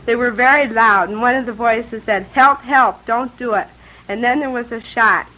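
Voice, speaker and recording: A woman's voice: on the high side, normal speed, sharp tone, rough recording. A fifteen-year-old American girl giving a recited eyewitness statement. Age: teenager, fifteen.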